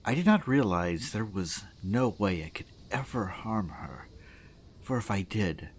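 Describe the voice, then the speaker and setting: Guy doing earnest goblin warrior, deep n slow, very formal, audio drama, bit of noise behind. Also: expressive. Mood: earnest, resigned. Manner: formal, deliberate, heartfelt declarations. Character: lovelorn male goblin warrior, earnest and solemn. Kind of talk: fantasy audio drama acting.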